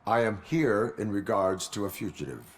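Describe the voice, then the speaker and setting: A man playing a stern robotic cyborg police officer in a video game, speaking in a formal, clipped, deadpan way. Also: fairly low pitch, moderate pace, a little hiss or hum. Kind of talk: video game cutscene acting.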